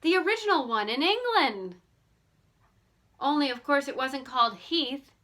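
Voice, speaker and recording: A woman's voice: sits high, animated, measured pace, pushed and bright, pitched above the performer's own voice, heavily acted. A woman voicing a keen, kindly teenage girl detective in an audiobook, eager and determined. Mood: excited. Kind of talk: audiobook character voice.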